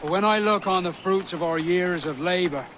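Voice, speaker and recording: A man's voice: high-pitched, moderate pace, noisy background, close to an everyday voice. An actor playing a cautious, disillusioned pirate statesman who speaks soberly and eloquently in a video game cutscene.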